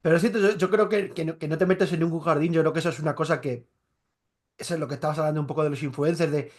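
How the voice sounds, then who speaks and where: A man's voice: fairly high pitch, steady tone, a little mellow. A man interviewing an actor, asking rambling questions full of restarts and repeated words. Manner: rapid, stumbling questions with many restarts and fillers. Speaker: interviewer, journalist. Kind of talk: interview conversation.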